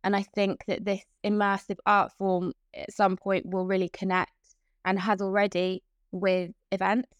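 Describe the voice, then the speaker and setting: Young woman, even and level delivery, dry close sound; chatty interview guest. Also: medium pitch, moderate pace, smooth, no echo, muffled recording. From Hong Kong. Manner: chatty, with frequent fillers and restarts. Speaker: guest, events professional. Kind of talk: casual interview.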